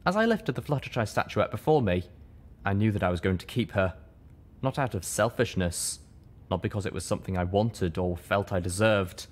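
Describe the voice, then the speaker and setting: A man reading a first-person story as an audiobook narrator, with expressive, dramatic delivery. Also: fairly low pitch, moderate pace.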